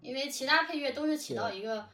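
A woman's voice: high-pitched, fast, sharp tone. A woman interviewing in a casual, informal chat style, a bit giggly in manner. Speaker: interviewer, drama producer. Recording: roomy, distant mic, faint music behind. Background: music.

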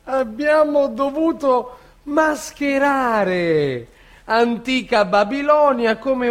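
A man reading quotations aloud in a theatrical style, as a stage reader. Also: extremely high pitch. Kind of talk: dramatic public reading of quotations.

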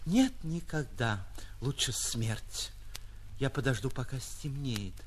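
A male actor puts on a delicate young princess from a storybook. She is naive and plaintive, speaking in distressed melodrama with widely swinging pitch. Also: expressive, slow pace, a little hiss or hum. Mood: tearful despair.

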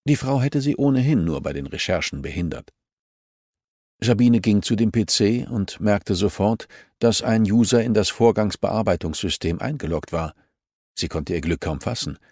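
A male audiobook narrator reads scripted prose from a novel. His voice is on the low side. The recording is dry, with very little room sound. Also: darkish tone, no room sound.

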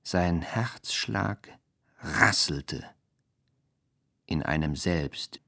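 A man reciting experimental poetry in a radio play, in halting, fragmented, stylised phrases. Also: low-pitched, creaky, sharp tone.

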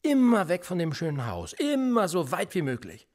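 A needling police interrogator. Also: male voice, high-pitched, huge pitch swings, moderate pace. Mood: insinuating. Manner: needling, insinuating questions. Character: menacing male police interrogator, probing and mocking. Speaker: police officer. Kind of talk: radio play acting.

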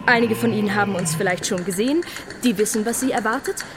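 A woman's voice: mid-range voice, quick pace, natural voice. Composed woman acting over faint noise. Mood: welcoming. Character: female auction bidder and gallery guide, composed, welcoming. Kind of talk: theatrical scripted narration.

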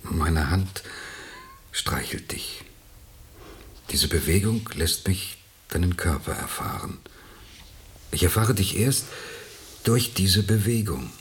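A troubled man lost in his own perceptions, in a radio play. The voice is very low and moves expressively. He speaks with halting, philosophical intensity. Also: animated, a little hiss or hum. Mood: brooding, anguished. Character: troubled, brooding man estranged from time and perception. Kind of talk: German radio play acting.

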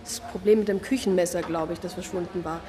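A woman's voice: fairly low pitch, steady tone, fast talker, crowd noise, natural voice. An actress playing a hesitant yet adamant girlfriend of an accused man, giving an interview in a radio drama. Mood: hesitant, incredulous. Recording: long reverb, music behind.

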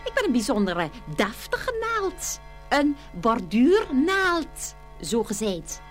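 A woman's voice: a little high, takes it slowly, faint music behind, full character voice. A woman playing a vain, easily offended darning needle in a children's fairy-tale radio play, with an affected, haughty, fussy manner. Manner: affected, haughty, fussy, full of oohs and ohs. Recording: hum and steady noise.

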